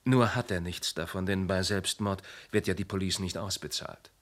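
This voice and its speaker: A man playing a wry, low-voiced insurance agent. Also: normal speed, lightly acted. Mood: explaining. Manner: precise, wry, slightly condescending. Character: polished, ironic male insurance agent. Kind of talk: radio play acting.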